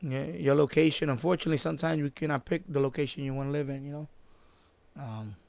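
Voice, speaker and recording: A man chatting off the cuff on a live stream, halting and repeating himself as he circles back to his points. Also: on the high side, fairly even intonation, vocal fry, a little mellow, no echo. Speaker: live-stream host, radio hobbyist. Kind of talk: casual unscripted live-stream chat.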